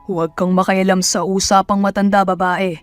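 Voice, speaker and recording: A woman's voice: medium pitch, level tone, normal speed, no echo, faint music behind, close to an everyday voice. A woman voicing a domineering, dismissive male mining boss in an audio drama. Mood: stern, dismissive. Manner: stern, dismissive. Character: stout, domineering male mining company man. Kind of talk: Tagalog audio drama dialogue.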